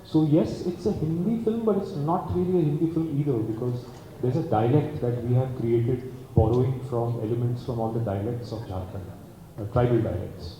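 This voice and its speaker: A man's voice: medium pitch, moderate pace, warm tone. A man, speaking reflectively and articulately about making a film, thinking aloud at a press meet. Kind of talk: press conference answers. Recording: echoey hall with background noise.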